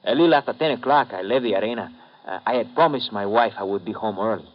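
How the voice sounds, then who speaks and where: An actor playing a humble Cuban dock worker who talks hesitantly in broken English in a 1950s radio drama. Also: male voice, fairly low pitch, fast, natural voice. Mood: eager. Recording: dull old radio broadcast.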